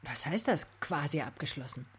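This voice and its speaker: An actress playing a sceptical private detective in a radio drama, speaking in a probing, controlled and pointed way. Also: female voice, sits low, animated, normal speed, husky, heavily acted. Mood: probing, polite. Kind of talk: naturalistic radio drama dialogue.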